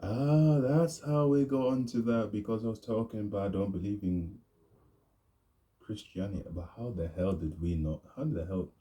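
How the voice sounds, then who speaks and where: A young man, talking in a rambling, casual vlog monologue and deliberately pushing his voice deeper. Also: mellow. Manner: deliberately deepened, laid-back voice. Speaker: vlogger.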